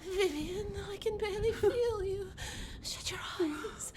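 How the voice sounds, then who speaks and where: A woman playing the reproachful ghost of a drowned young girl in a radio play, pleading in an anguished, drawn-out way. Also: very high voice, a little mellow, slight background noise. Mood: anguished, accusing.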